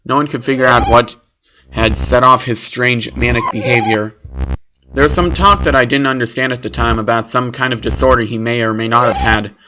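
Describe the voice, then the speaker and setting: A man narrates a scripted horror story in the first person at a medium pitch. The recording has clear background noise and clicks. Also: steady tone, brisk.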